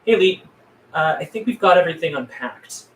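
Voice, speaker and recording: A man playing a wry, protective and practical survivor in a drama script read, brisk and matter-of-fact. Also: fairly high pitch, animated, a bit bright. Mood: businesslike. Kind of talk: script table read acting. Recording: echoey room with background noise.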